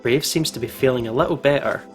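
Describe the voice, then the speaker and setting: Male gaming co-host, medium pitch. Casual chat and read-aloud, informal and reactive, music underneath. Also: fast talker. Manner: informal, reacts with exclamations and banter. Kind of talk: casual let's-play chat and reading aloud. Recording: music playing behind the voice. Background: game music.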